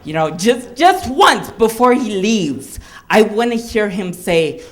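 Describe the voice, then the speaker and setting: Slow, animated male stand-up comic. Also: high-pitched. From Hawaii. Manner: halting, with restarts and chuckles. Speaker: stand-up comedian. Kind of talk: stand-up comedy set.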